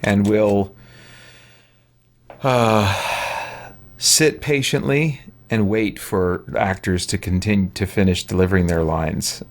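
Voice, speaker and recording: A man's voice: on the low side, takes it slowly. A man hosting a livestream, chatting casually as he plays in a hesitant, musing, wry way. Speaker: game streamer, host. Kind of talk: casual livestream gameplay chat.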